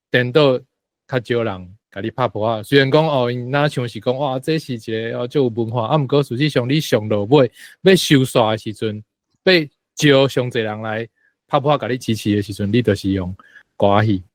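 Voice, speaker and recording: A man with a Taiwanese accent sharing personal impressions as a discussion guest, in a halting, informal, wordy way. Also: mid-range voice, no room sound, studio quality.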